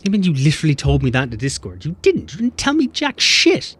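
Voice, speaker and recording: A man's voice: fairly high pitch, husky. A man streaming a game, chatting casually and swearing as he bickers with chat. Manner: animated, swearing, quick banter with chat.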